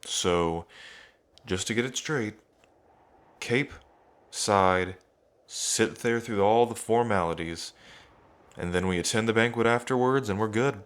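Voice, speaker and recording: Man playing a cocky, deep-voiced young dragon king. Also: takes it slowly, pauses often, smooth. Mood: casual warning. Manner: casual, teasing, conversational. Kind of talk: ASMR roleplay to the listener.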